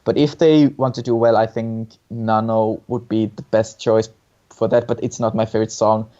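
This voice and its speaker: Mellow-voiced man, hesitant, in dull audio. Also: lowish voice, little pitch movement, moderate pace. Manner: hesitant, repeats words. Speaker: co-host reviewing song contest entries. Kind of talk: casual opinion chat. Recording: dull lo-fi sound.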